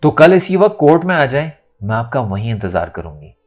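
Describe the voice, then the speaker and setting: A man narrator plays a determined young woman in an audio novel. She is bold and insistent, with animated, swinging pitch. Faint music sits underneath. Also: fairly quick. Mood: assured.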